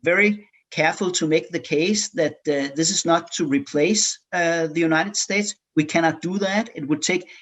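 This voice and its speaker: A man from Denmark, speaking as a panelist in a policy discussion, pausing often with filler sounds and repeated words. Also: on the high side, a bit bright.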